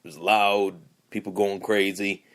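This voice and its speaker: Young man hosting a podcast solo, a bit hoarse and raspy, lowish voice, loose and rambling. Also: flat delivery, unhurried. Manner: rambling, with many fillers and restarts. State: hoarse from yelling. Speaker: podcast host. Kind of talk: casual solo sports podcast.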